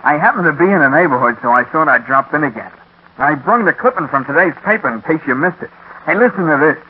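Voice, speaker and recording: On a thin, telephone-like 1940s radio recording, a man plays a loyal cabbie pal. He sounds folksy and bashful, with a highish voice that bounces widely in pitch. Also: lively intonation, quick pace, lightly acted. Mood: bashful, kindly. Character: good-natured, loyal, unschooled male cabbie pal. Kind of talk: 1940s radio drama acting. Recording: narrow-band 1940s radio recording, faint noise.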